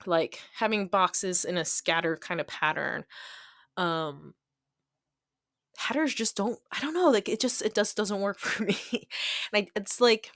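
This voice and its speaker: A woman's voice: mid-range voice, a little slow, fairly bright tone, dry recording, clean studio sound. A woman chatting casually and digressively over a hobby craft video, self-deprecating and giggly, often voicing her own thoughts as she goes. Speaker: hobby planner video creator.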